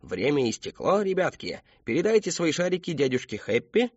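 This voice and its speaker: Guy doing a creepy jolly fat clown, high yelly showman voice for a story, kinda roomy. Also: sing-song, moderate pace, slightly rough, dull audio. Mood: rousing, hectoring. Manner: shouting showman, exaggerated jollity. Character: fat children's party clown, manic cheer hiding menace.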